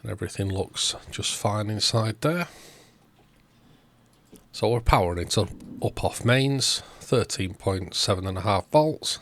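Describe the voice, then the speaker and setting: A man hosting a repair video, talking through the work step by step in short, unhurried phrases. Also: on the low side, lively intonation, fairly bright tone. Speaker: host of electronics repair videos. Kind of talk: narrated repair walkthrough.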